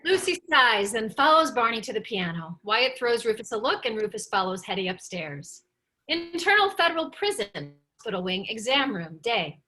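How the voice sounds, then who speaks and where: A woman's voice: a little high, sing-song, moderate pace, pushed and bright, a little hiss or hum, poor audio. A woman narrator reading stage directions at a script table read.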